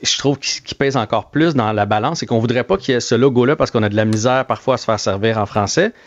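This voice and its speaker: A man with a Québécois accent, a radio host calmly laying out his counterpoint with halting restarts. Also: medium pitch, no echo, lo-fi sound. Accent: Québécois French. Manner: measured, reasoning, halting restarts. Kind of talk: opinionated radio debate. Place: radio studio. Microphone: studio microphone.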